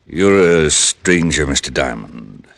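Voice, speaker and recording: An actor playing a big, imperious plantation owner in an old radio drama, formal and measured. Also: male voice, rumbling low, big pitch swings, creaky, full character voice. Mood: haughty, guarded. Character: big, imperious male plantation tycoon with a temper.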